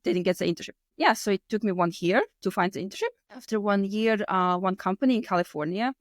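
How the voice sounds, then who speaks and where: A woman's voice: medium pitch, dry recording. Latvian-accented woman in her thirties, dry sound. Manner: non-native English with loose grammar and word order. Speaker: podcast guest. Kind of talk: casual personal storytelling in interview.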